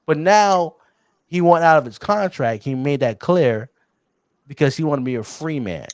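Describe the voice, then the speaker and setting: Animated man co-hosting a podcast. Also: on the high side, moderate pace, no room sound, clean studio sound. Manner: animated, teasing, chuckles often. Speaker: podcast co-host. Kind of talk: casual sports chat.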